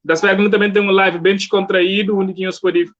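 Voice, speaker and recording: A male host with a Cape Verdean accent and a slightly high voice. He talks informally and welcomingly, as in a casual live online interview. The sound is somewhat muffled and lo-fi, like a stream. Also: little pitch movement, fast, a bit bright.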